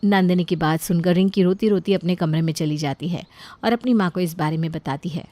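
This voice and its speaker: A woman reading scripted narration for an animated family moral story. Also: fairly low pitch, fairly quick, no room sound.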